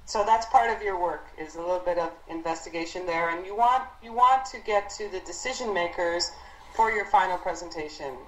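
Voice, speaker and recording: A woman leading a team conference call as an instructor, explaining business matters in a halting, encouraging way as she thinks aloud. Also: lowish voice. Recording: roomy conference call audio.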